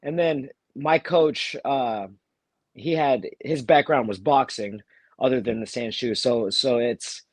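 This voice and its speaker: A fighter from Texas, talking casually as an interview guest while nursing a cold, with many fillers and restarts. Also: male voice, mid-range voice, slow, no echo. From Texas, USA. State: has a cold. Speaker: bare-knuckle fighter, guest.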